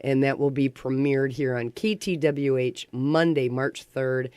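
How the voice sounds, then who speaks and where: A woman's voice: extremely low pitch, unhurried, mellow, smooth, no echo. A woman hosting a casual radio interview, talking in an enthusiastic, effusive way. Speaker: radio talk show host. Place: radio station studio.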